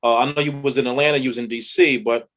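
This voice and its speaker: Regular-pitched guy hosting a podcast, casual questions, keeps rephrasing himself. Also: fairly even intonation, fast talker, a bit bright. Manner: informal, rephrases his questions, says "talk about that". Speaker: podcast host.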